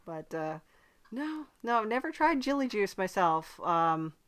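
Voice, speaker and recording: A woman hosting a podcast in casual chat, with a dry, playful deadpan humour. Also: mid-range voice, slow, clean tone. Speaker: podcast host.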